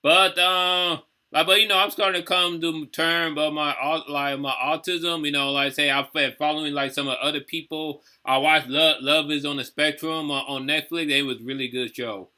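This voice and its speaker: A man in his early thirties hosts a reaction video. His voice is very bright and sits a little high for a man. He rambles in casual commentary and keeps circling back to check that he is understood. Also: fairly even intonation, moderate pace, few pauses. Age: 30s. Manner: rambling, repeats "you know what I'm saying".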